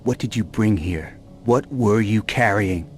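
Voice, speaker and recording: Man playing a low-voiced sardonic tough guy. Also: unhurried, close to an everyday voice. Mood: urgent, brusque. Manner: dry, wry, brusque. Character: cool, sardonic male adventurer, brusque and tough. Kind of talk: video game cutscene acting.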